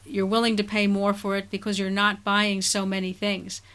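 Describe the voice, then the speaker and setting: A woman hosting a podcast interview, speaking in a measured, thoughtful way. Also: mid-range voice, monotone, fairly bright tone, smooth. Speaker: podcast host. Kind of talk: conversational interview.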